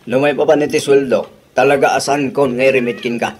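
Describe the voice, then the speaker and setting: An actor playing a thrifty, exasperated husband in a radio drama, grumbling reproachfully with an Ilocano accent. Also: male voice, medium pitch, fairly even intonation, a little fast, slightly rough, lightly acted. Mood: scolding.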